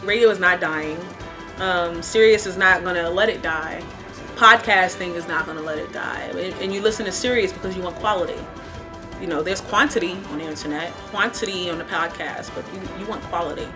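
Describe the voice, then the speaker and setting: A woman's voice: on the low side, fairly quick. A woman speaking insistently in an interview, repeating phrases for emphasis. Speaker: radio industry interviewee. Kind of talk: documentary interview talk. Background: music.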